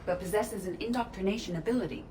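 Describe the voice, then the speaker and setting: An actress playing a calm, analytical artificial intelligence aide in a video game, measured and precise. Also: female voice, medium pitch, background noise, close to an everyday voice. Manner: measured, precise, matter-of-fact.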